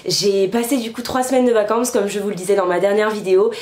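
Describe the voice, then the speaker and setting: A woman's voice: medium pitch, fairly even intonation, fast talker, a little mellow. A young woman in her twenties chatting casually to her viewers in a vlog, a bit stuffy from a cold, rambling with lots of fillers. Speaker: beauty YouTuber. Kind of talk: casual vlog chat to camera. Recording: echoey room.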